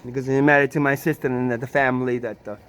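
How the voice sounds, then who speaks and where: A man's voice: medium pitch, little pitch movement, fast talker, a bit bright. A man telling a personal family story in casual talk, stumbling over restarts and repeated words, swearing often and tossing in sarcastic rhetorical questions. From Italy. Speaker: storyteller recounting his family.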